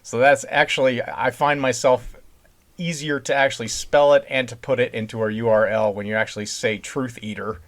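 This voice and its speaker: A man's voice: mid-range voice, fairly even intonation, normal speed, fairly bright tone. Easygoing man chatting off the cuff. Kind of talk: casual unscripted podcast chat.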